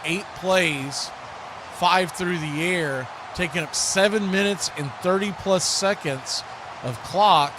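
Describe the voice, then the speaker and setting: Higher-voiced man calling football, noisy sound. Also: takes it slowly. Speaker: play-by-play radio announcer. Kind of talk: live American football commentary. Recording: clearly noisy live stream recording.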